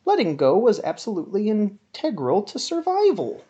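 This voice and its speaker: Female dramatic reader: hugely expressive intonation, mid-pitched voice, stagey and emphatic, milks key words. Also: muffled recording. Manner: melodramatic, emphatic delivery with drawn-out words and swelling emphasis. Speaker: dramatic reader of a written film review. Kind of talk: theatrical dramatic reading of a monologue.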